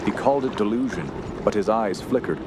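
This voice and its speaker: An actor playing an earnest, shaken young woodsman who tells his story in a radio horror drama, halting and solemn. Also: fairly low pitch, lightly acted. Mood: haunted, solemn. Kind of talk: radio horror narration and acting. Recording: loud steady outdoor background noise.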